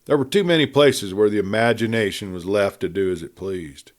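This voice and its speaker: A man reading a western story aloud in a dramatic, folksy, expressive storytelling manner. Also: fairly low pitch, a little fast. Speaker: audiobook reader.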